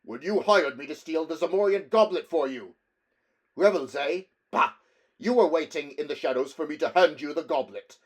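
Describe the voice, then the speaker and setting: A man's voice: a little high, moderate pace, voice pushed up, natural voice. A man doing a snarling barbarian thief. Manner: snarling, blunt. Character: grim defiant barbarian thief, fierce.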